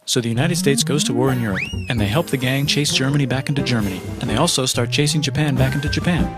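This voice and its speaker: A man delivering scripted comedic history narration in deadpan, punchy short phrases. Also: mid-range voice, fast talker, loud music behind the voice.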